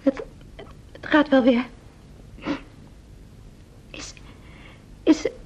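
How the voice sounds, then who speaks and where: High-voiced, anxious detective's wife. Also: female voice, fairly even intonation, extremely slow, whispered. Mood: frightened. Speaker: radio drama actress. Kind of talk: Dutch radio play acting. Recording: muffled, noisy old radio recording.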